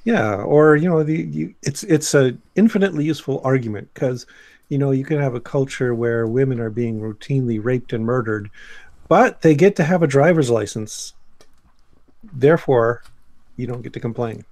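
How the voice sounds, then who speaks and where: A man's voice: mid-range voice, normal speed, darkish tone, dry recording. A man speaking as a guest in a casual discussion. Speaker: podcast guest.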